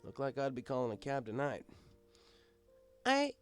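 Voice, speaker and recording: A man's voice: mid-range voice, steady tone, fast, darkish tone. Easygoing male storyteller over music. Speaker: audiobook reader. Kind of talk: first-person story narration as a bartender.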